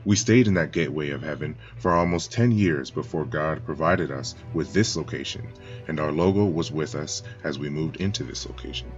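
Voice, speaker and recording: Man, very deep voice, solemn scripted church narration, dull lo-fi sound with soft music behind. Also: normal speed. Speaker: church spokesman.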